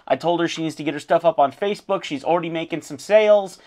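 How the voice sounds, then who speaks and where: A man with a hoarse, fading voice walks a student through a critique of her drawing. His pitch sits on the higher side for a man, and he talks informally, encouraging and full of fillers. It sounds like a recorded art lesson. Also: fast talker, darkish tone. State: losing his voice. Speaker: art teacher.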